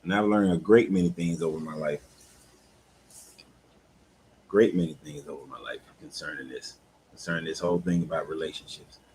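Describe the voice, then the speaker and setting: A very low-pitched man in his fifties talks in a relaxed, candid way, like a coach chatting with his audience on a live stream. His delivery is loose and conversational, and he stops now and then to read out viewer comments. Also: moderate pace, pauses often, slight background noise, low-quality recording. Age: 50s. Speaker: life coach hosting a live talk. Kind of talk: casual live-stream chat.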